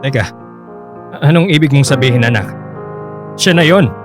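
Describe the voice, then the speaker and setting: A man's voice: medium pitch, moderate pace, music in the background, natural voice. A man playing a middle-aged father of grown children, a nudging family man, in a Filipino radio drama. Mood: awkward.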